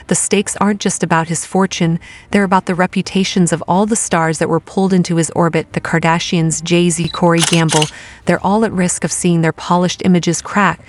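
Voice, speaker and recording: A woman delivering scripted gossip narration in a dramatic, conversational way. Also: fairly low pitch.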